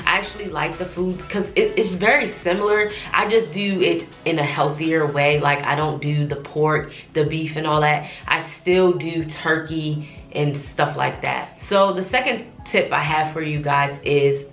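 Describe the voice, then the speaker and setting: A woman's voice: deep voice, fairly even intonation, measured pace, a bit bright, reverberant, faint music behind. A young woman vlogger with a congested voice from a cold, chatting casually to camera with lots of fillers and restarts. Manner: chatty, rambling, frequent fillers.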